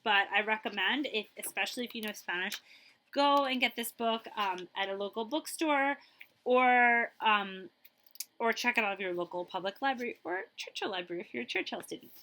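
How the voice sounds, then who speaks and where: A woman's voice: fairly high pitch, expressive, moderate pace, pushed and bright. A woman school librarian reading a children's picture book aloud expressively, with chatty asides to her listeners.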